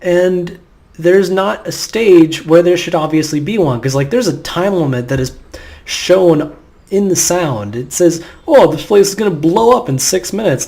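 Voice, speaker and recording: High-voiced man rambling over a game. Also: vocal fry, a little mellow. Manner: rambling, nitpicking. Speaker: gameplay commentator. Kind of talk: casual let's play commentary. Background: game music.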